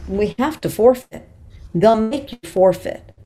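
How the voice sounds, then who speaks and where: A woman's voice: on the high side, a little slow, mellow, husky. A woman playing a blunt, protective fight trainer at a screenplay table read. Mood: worried.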